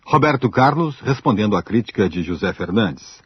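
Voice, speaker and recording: A man's voice: fairly low pitch, sing-song, moderate pace, slightly rough, no echo, dull audio. A man with a Brazilian accent reading scripted radio documentary narration.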